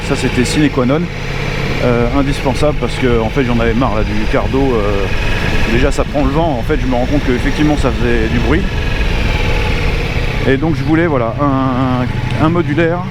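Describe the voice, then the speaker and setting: A man with a French accent and a mid-pitched voice talks off the cuff as a motorcycle vlogger. His delivery is casual and hesitant, often doubling back on words. He speaks into a small mic inside his helmet, with very loud engine, wind and traffic noise around him. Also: normal speed. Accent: French from France. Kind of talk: casual unscripted vlog chat while riding. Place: outdoors, riding a motorcycle in traffic. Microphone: lavalier inside a motorcycle helmet.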